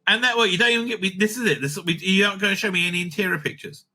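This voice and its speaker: A man's voice: high-pitched, steady tone, racing, pushed and bright. A man giving casual, unscripted online commentary, sweary, sarcastic and exasperated in manner. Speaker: online commentator reviewing audio drama releases.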